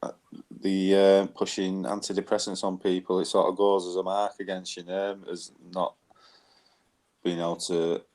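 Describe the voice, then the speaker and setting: A man's voice: low-pitched, completely flat delivery, normal speed, a little mellow. A man co-hosting a casual talk show, voicing opinions loosely and often ending with tag questions. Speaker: co-host.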